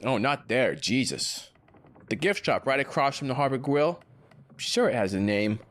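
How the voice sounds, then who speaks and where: A man's voice: medium pitch, big pitch swings, moderate pace, a bit bright. A man acting a chipper, needling lawyer in an audio drama, breezy, sarcastic and boastful. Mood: animated, flippant.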